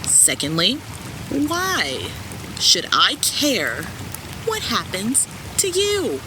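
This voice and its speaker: Watery rushing noise sits behind a haughty shark-like lord of the sea, acted by a man. He is irritable and disdainful, taking his words slowly in grand fantasy audio drama fashion. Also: high voice, huge pitch swings, exaggerated voice. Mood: contemptuous. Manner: disdainful, grudging, deliberate.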